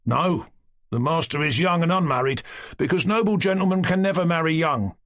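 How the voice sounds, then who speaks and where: A man reading aloud voices a young male servant who talks gently but boasts about his master. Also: sits high, animated, moderate pace, voice pushed up. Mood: eager. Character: young servant man, cheerful and self-important.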